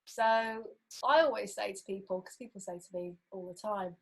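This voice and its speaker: A woman's voice: medium pitch, normal speed, slightly rough, low-quality recording. A woman drummer chatting casually on a podcast, thinking aloud with many fillers.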